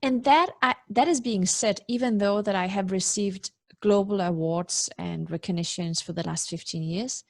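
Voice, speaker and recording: A woman's voice: medium pitch, normal speed, gravelly, few pauses, dry recording. A woman in her forties who grew up in Denmark, a guest speaker in a web interview, talking in an effusive, personal way with many "you know" fillers. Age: 40s. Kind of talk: candid interview talk. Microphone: video call.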